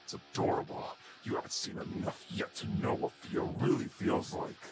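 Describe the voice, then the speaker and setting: A man voicing a taunting monster villain for a game character reel, with a breathy, whispery rasp and a mocking, theatrical delivery. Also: natural voice. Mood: sly contempt. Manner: slow, deliberate, mocking, theatrical. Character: sinister taunting male monster villain, menacing, patient and mocking. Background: prominent music.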